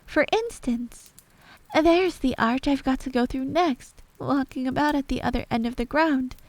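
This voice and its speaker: A woman's voice: sits high, a little slow, no room sound, pitched above the performer's own voice. A woman voicing a polite, earnest young girl in a storybook tale, with a prim way of talking. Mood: flustered complaint.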